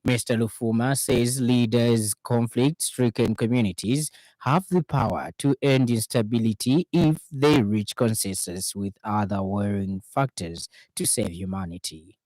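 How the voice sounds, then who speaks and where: A man's voice: medium pitch, measured pace, few pauses, darkish tone, no room sound, rough recording. Zambian male radio newsreader, close dry sound. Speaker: radio news reader. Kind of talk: formal scripted news bulletin reading.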